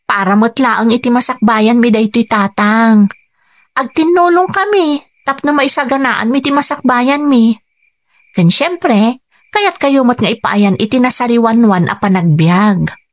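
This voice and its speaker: A woman's voice: a little high, lively intonation, unhurried. A woman playing an earnest, determined young daughter in a Philippine radio drama, speaking persuasively over music.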